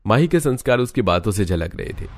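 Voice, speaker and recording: A man's voice: fairly low pitch, very animated, fairly quick. A man reading scripted story narration with music playing behind him. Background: background music.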